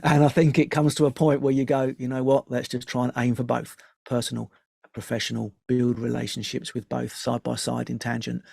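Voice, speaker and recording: A man's voice: mid-range voice, flat delivery, fairly quick, creaky, a little mellow, breathy edge, no echo, studio quality. A man speaking conversationally as an interview guest. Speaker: media composer, interview guest.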